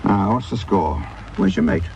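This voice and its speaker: An actor playing a wry, middle-aged English police sergeant with a gravelly voice, grumbling and dry-humoured in a radio drama. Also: male voice, sits low, normal speed, darkish tone, dull audio, slight background noise, natural voice. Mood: brisk, dry.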